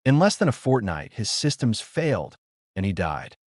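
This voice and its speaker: Low-voiced man, animated dramatic narration. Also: normal speed, no echo, clean studio sound. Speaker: audiobook narrator. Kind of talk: scripted dramatic noir audiobook narration.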